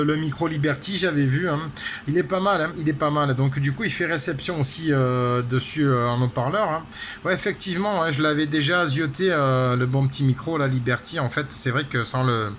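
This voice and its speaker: A man's voice: a little high, fairly bright tone. A man chatting casually as an amateur radio operator, peppering his talk with tag words. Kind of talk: casual radio contact chat. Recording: clear background noise.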